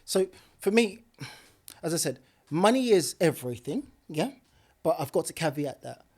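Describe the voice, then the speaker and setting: A young man with a slangy edge speaks as a podcast guest. His voice sits fairly high for a man. He talks with emphatic energy, laughs readily and doubles back on his words. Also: normal speed. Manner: animated, emphatic, laughs often, repeats words. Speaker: podcast guest, property investor. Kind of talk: casual podcast conversation.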